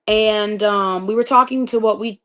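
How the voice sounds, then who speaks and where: A young woman tells a story in casual chat, with a voice of ordinary pitch. She describes things expressively and in vivid detail. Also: fairly even intonation, normal speed, fairly bright tone.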